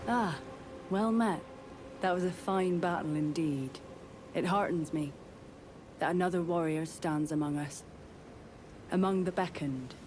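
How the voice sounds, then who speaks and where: A woman playing a devoted, composed warrior in a fantasy game, speaking in a measured, formal and earnest manner. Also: on the low side, noisy background, lightly acted. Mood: earnest, courteous. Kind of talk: dramatic fantasy game dialogue.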